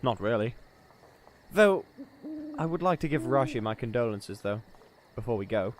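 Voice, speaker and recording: A man's voice: medium pitch, lively intonation, normal speed, slight background noise, lightly acted. Man playing a reserved, mid-voiced traveller. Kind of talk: comedic fantasy audio drama acting.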